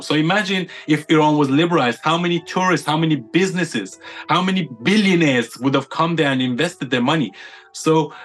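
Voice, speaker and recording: A man's voice: a little high, a bit bright. A man from the United Kingdom, a podcast guest giving his opinions in casual chat, stacking up points with repeated phrases.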